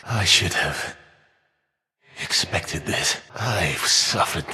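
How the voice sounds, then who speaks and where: An actor playing a proud, vengeful, defiant Titan of fire in a video game, speaking with grave, haughty, archaic formality. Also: male voice, sits low, animated, very slow, sharp tone, slightly rough, exaggerated voice. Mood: bitter defeat. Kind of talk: dramatic video game villain voice acting. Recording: long reverb tail.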